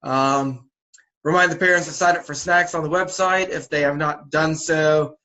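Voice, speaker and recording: A man with a slightly raised pitch, speaking over a video call as he walks volunteer coaches through league details. He sounds a little sniffly from a sore throat. His delivery is loose and instructive, full of "uh"s and repeated "again"s. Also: moderate pace. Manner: repetitive, relaxed and instructive, many fillers. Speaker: youth basketball league organizer. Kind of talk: coaches' orientation briefing.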